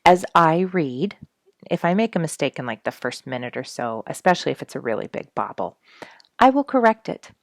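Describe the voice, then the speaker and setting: A woman with a low voice hosts a children's read-aloud. Her intonation is very animated, with an encouraging way of addressing young listeners. The sound is dry, with little room around it. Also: a little fast, no room sound. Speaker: story reader and host. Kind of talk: read-aloud children's storybook with casual asides.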